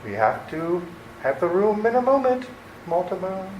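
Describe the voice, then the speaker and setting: A man's voice: high voice, sing-song, highs cut off. A man playing a sinister, cruel criminal brother in a radio play, with a threatening manner. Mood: menacing, mocking. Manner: slow, threatening delivery. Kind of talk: old-time radio play acting. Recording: roomy, echoing recording with faint noise.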